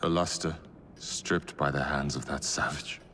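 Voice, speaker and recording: An actor playing a cold, imperious villain leader with a grandiose, formal, theatrical delivery in a video game. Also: male voice, extremely low pitch, steady tone, a little slow, a little hiss or hum, full character voice. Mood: grave, controlled. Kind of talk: dramatic video game acting. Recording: hall reverb under loud music.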